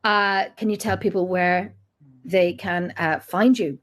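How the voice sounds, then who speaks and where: A woman's voice: lowish voice, steady tone, unhurried. An Irish woman hosting a podcast, chatting casually, laughing often and swearing lightly. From Ireland. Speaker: podcast host. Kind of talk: casual chat.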